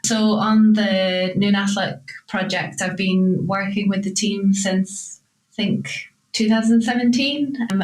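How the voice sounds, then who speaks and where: A woman from Scotland, an archaeologist and artist, introducing herself in a relaxed, thoughtful way. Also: fairly low pitch. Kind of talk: self-introduction. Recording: roomy echo.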